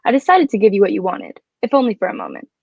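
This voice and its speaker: A woman's voice: mid-range voice, huge pitch swings, quick pace, vocal fry, husky, no echo, narrow, tinny audio, full character voice. A woman acting a clever, bold, flirtatious adventuress in a radio drama, with a poised, formal and coy way of speaking. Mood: poised, triumphant. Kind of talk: adaptation of a 1940s radio drama.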